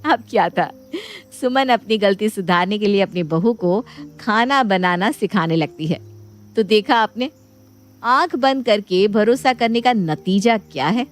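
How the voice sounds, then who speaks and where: A woman's voice: medium pitch, expressive, measured pace. A woman storyteller reading scripted narration for a Hindi moral bedtime story. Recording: music bed behind the voice.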